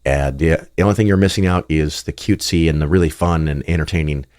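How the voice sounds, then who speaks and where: A man hosting his own podcast, talking casually and bluntly, his voice raspy and gravelly from a cold. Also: extremely low pitch, steady tone, quick pace, a little mellow, no echo, studio quality. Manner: blunt, plainspoken, chatty with fillers. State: getting over a cold. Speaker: podcast host. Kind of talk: casual solo monologue.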